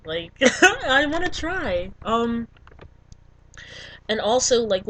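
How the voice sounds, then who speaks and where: Brief: young woman vlogger, mid-pitched, chatty and rambling, faint background noise. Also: a bit bright, low-quality recording. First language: English. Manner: rambling, full of ums and likes. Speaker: language vlogger. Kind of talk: casual talk to camera.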